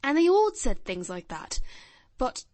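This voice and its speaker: A woman reads as a grave little boy of almost ten, talking in a shy, blunt, earnest way. The voice sits high and swings widely up and down. The sound is dry and close. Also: very animated, a little slow, darkish tone, no room sound.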